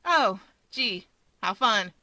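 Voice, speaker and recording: A woman's voice: medium pitch, animated, slow pace, sharp tone, dry recording. A woman voicing a cocky, sardonic young male hero with a flippant, wry manner in a story reading. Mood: flat sarcasm.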